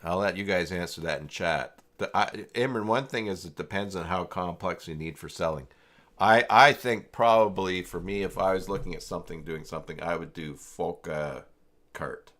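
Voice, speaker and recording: A man hosting a casual, unscripted livestream tutorial, talking haltingly as he thinks aloud through each step. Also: deep voice, fairly bright tone. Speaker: livestream host and web tutorial presenter.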